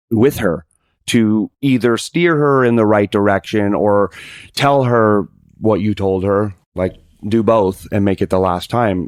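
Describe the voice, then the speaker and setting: Earnest man with a low voice. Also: a little mellow, no room sound, clean studio sound. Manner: earnest, emphatic, repeats himself for stress. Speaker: podcast guest. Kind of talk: casual podcast conversation.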